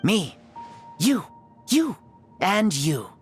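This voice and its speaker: An old fire god in a boy's body, cheeky, teasing, grandly declaiming, lively pitch. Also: very slow, faint music behind, full character voice. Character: ancient fire god's spirit in a boy's body, playful.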